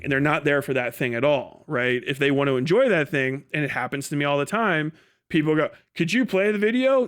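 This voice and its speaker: High voiced guy doing livestream commentary, argumentative, repeats himself for emphasis, swears casually. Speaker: live stream host. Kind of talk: off-the-cuff livestream legal commentary.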